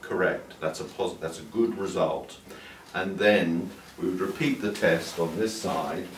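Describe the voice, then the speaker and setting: Older male doctor, deep voice, patient explainer; distant mic, roomy clinic sound. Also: a little slow. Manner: calm, explanatory, with small restarts. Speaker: doctor demonstrating an exam. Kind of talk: clinical teaching demonstration. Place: clinic examination room. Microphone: far camera mic. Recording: roomy, distant sound with faint room hiss.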